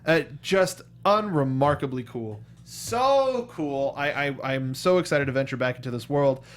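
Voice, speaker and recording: A man's voice: mid-range voice, animated, measured pace. A man hosting a review show in a casual, informal and enthusiastic way. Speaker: show host.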